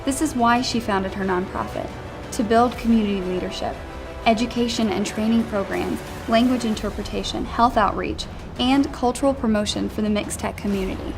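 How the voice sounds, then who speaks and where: A woman of middling pitch reads a scripted tribute aloud. Background music runs clearly beneath her narration. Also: moderate pace. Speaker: reader of nomination stories.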